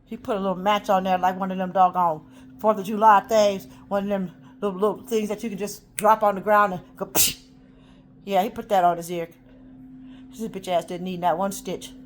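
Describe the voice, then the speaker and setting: A woman ranting in a rambling, profane monologue, swinging between loud outbursts and low muttering. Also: little pitch movement, moderate pace, a little hiss or hum. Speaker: vlogger.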